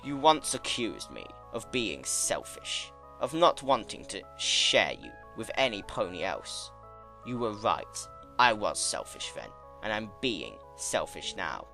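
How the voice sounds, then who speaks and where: Man voicing an aging, drawn-out, smug chaos creature. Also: lowish voice, huge pitch swings, gravelly, pushed and bright, soft background music, full character voice. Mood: tender pleading. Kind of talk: dramatic fan-fiction reading.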